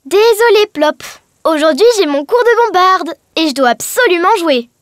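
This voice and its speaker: A woman's voice: extremely high pitch, lively intonation, normal speed, shouting. A woman voicing a cheerful young girl who explains and encourages in an educational cartoon. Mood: bright, explanatory. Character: cheerful young Breton girl teaching her alien friend. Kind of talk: scripted educational cartoon dialogue. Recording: music behind the voice.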